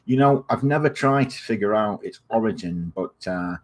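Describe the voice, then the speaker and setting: A man from England whose accent has softened after years in the US, hosting a health explainer video. He rambles and digresses, with many ums and uhs. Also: lowish voice, lively intonation, normal speed. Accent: English, softened by years in the US. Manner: friendly sign-off. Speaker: video series host. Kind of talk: informal spoken health explainer.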